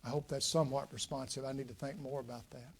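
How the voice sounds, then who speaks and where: Older guy, gravelly voice, folksy, lots of uh pauses, talking to a room. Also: mid-range voice, fairly even intonation. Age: 60s. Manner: folksy, reflective, frequent "uh" pauses. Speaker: guest speaker, former state legislator. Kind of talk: public talk with audience questions. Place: lecture room with audience.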